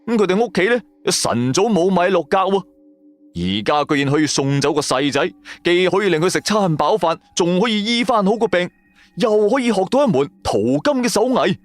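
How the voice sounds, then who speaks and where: Animated male storyteller. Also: high-pitched, moderate pace, dry recording, soft background music. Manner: colloquial, suspenseful, rhetorical questions. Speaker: audio drama narrator, storyteller. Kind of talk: dramatic scripted storytelling.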